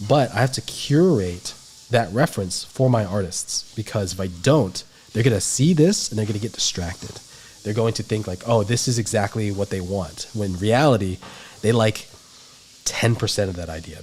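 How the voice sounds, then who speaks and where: A man's voice: fairly low pitch, a little mellow, slight background noise. A man with a stuffy, nasal voice, teaching an art lesson on a livestream in a rambling way full of fillers. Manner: rambling, filler-heavy explaining. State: stuffy nose. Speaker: art instructor.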